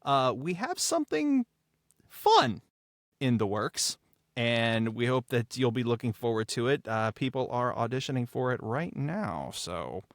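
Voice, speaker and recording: A man on a podcast panel making casual announcements in a halting way with emphatic pauses. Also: mid-range voice, sing-song, gravelly, no room sound, studio quality. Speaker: podcast panelist from the production team.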